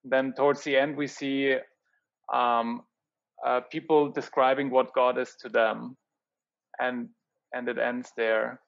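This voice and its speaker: A man who is not a native English speaker, hosting a casual discussion in a measured, soft-spoken way with frequent fillers. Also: medium pitch, little pitch movement, vocal fry, highs cut off. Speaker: host.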